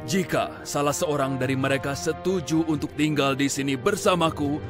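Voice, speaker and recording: Guy doing a loud angry lion-faced beast for a cartoon, a bit higher than you'd think, music going. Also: normal speed, close to an everyday voice. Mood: stern. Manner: booming, indignant. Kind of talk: cartoon dubbing. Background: background music.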